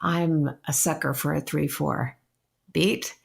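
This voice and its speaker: A woman from Texas with a slightly low voice full of creak. She chats casually as a podcast co-host discussing music. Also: fairly even intonation, slow.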